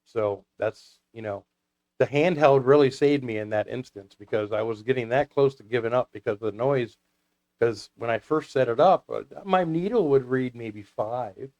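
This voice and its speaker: A man's voice: mid-range voice, normal speed, darkish tone. A man talking casually as a guest about his hobby, in a folksy, rambling storytelling way. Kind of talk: casual hobby talk with anecdotes.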